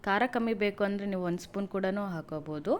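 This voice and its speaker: A woman from narrating a recipe step by step in a plain, instructional way, pausing between items. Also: medium pitch. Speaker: home cook presenting a recipe. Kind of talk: step-by-step cooking tutorial narration. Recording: noisy home cooking video audio.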